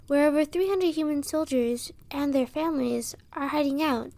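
A woman's voice: high-pitched, moderate pace, vocal fry, mellow, no echo. A woman playing an eager, plucky, clever young girl helper in a fantasy audio roleplay, earnest and youthful. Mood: eager scheming.

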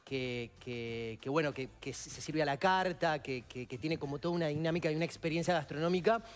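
A man's voice: a little high, brisk. A young man with a Uruguayan accent hosting a TV interview, asking long rambling questions and repeating words. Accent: Uruguayan Spanish. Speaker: TV host. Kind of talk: interviewing guests.

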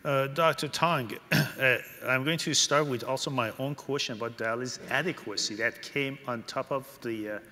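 Middle-aged male moderator, bright forceful tone, halting delivery, reverberant conference hall. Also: mid-range voice. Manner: halting, hesitant phrasing with many pauses. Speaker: panel moderator. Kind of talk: moderating a conference panel, reading questions. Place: large conference hall stage. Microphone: podium microphone.

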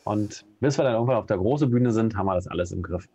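Man, Berlin accent, deep voice, relaxed chat, lots of hesitations. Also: fast, slightly rough. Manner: relaxed, many fillers and hesitations. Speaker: co-host. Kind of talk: casual live-stream chat. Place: home room with bookshelf. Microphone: video call webcam. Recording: dull lo-fi video call audio.

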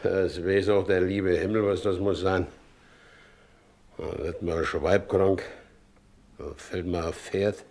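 An actor playing a good-natured, worried, ageing carter in a radio drama, talking plainly and grumbling. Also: male voice, sits low, little pitch movement, slow, long pauses, darkish tone. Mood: troubled grumbling. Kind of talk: 1960s German radio drama acting.

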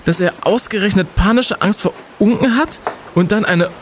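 A man's voice: high voice, moderate pace, slight background noise, natural voice. A man playing a bold, indignant young student detective in an audio drama, emphatic and slangy. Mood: puzzled, keen. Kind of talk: German audio drama acting.

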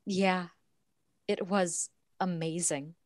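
A woman's voice: on the low side, fairly even intonation, slow, dry recording, clean studio sound. A woman playing a dreamy, slightly vain young wife in a modern radio drama. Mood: wistful.

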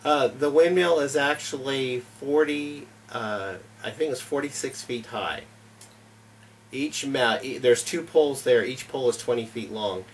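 A man's voice: mid-range voice, unhurried, distant mic, slight background noise. A middle-aged man who hosts a homesteading channel, answering interview questions in an earnest, plain manner with frequent "uh" fillers, seated in a home library. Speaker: homesteading YouTube channel host. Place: home library with bookshelves and fireplace.

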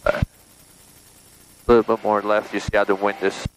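A man instructing in a small plane cockpit, calm and reassuring with short instructions. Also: lowish voice, moderate pace, background noise. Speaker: flight instructor. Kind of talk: coaching in the cockpit.

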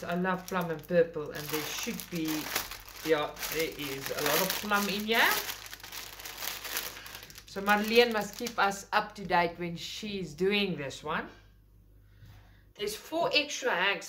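A woman's voice: fairly low pitch, lively intonation, a little slow, fairly bright tone, a little hiss or hum. South African woman, Afrikaans first language, enthusiastic rambling craft vlog host, casual unboxing chat. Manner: chatty, enthusiastic, rambling with self-corrections. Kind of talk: casual unboxing talk to camera. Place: indoors at a table, filming overhead.

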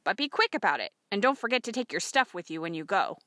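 A teasing, boyish older brother from a storybook, played by a woman. He is a little bossy, in a lively, close and dry delivery. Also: medium pitch, fast, no echo. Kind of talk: audiobook character voice.

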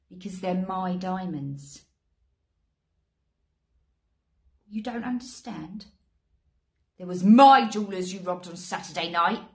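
Casting: actress as British female gang boss, thirties, lowish voice, controlled and quietly threatening, for screen drama monologue. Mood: cold, controlled, then angry, threatening. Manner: deliberate, threatening, with quiet menace. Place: small plain indoor room.

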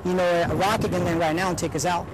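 A man's voice: high voice, flat delivery, quick pace. A man, a physician assistant speaking in an interview. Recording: noisy outdoor recording.

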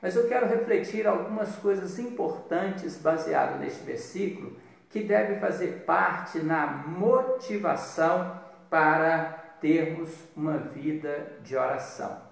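Slow Brazilian preacher in an echoey room. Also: male voice, a little high, gravelly. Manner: measured, deliberate, with pauses. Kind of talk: devotional sermon with Bible reading. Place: small bare hard-walled room indoors. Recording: very echoey room, distant mic.

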